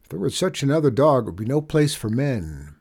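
A man voicing a nervous villager who talks quickly and anxiously. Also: mid-range voice, sing-song, vocal fry, a little mellow, husky, pitched above the performer's own voice, heavily acted. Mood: relieved. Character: nervous male village loafer.

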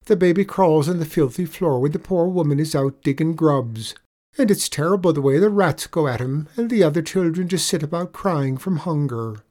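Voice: medium pitch, expressive, moderate pace, darkish tone, voice pushed up, lightly acted. A performer voicing a wily little Irish leprechaun man in a storybook tale, coaxing with a mournful lilt. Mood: piteous, pleading. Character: wily little leprechaun man spinning pitiful sob stories.

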